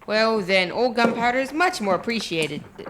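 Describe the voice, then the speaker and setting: A lively, swooping voice for a vain and nervous colonial schoolmaster acted by a female player. The speech is florid and old-fashioned, in radio play style. Clear noise and clicks sit on the recording. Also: a little high, moderate pace, natural voice. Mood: polite, uneasy.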